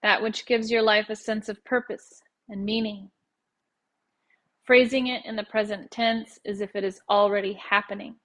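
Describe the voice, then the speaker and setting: A woman guiding a meditation as a teacher, speaking in a calm, measured way with pauses between phrases. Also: medium pitch. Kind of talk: guided meditation narration.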